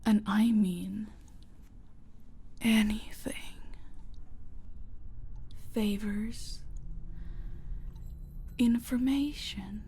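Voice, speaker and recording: A woman voicing a dominant, playfully sadistic mafia boss in an audio roleplay, taunting with mock sweetness and slipping into breathy whispers. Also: medium pitch, extremely slow, pauses often, dark tone, slight background noise. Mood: playful threat. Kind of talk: roleplay audio drama, slow intimate villain monologue.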